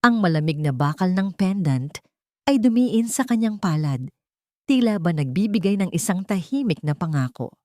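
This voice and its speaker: Low-pitched female story narrator, dry recording. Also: expressive, normal speed, a little mellow, dry recording. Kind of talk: dramatic scripted story narration.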